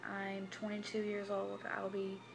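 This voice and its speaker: A woman, telling her personal story to camera in a halting, hesitant way with many restarts. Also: medium pitch, completely flat delivery, creaky, music in the background. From Tennessee. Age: 20s. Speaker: vlogger. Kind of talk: personal confessional vlog.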